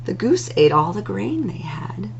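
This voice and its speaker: A woman reading rhyming picture books aloud as a storytime host, in an expressive, singsong delivery with drawn-out words. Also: medium pitch, vocal fry, dull audio, slight background noise.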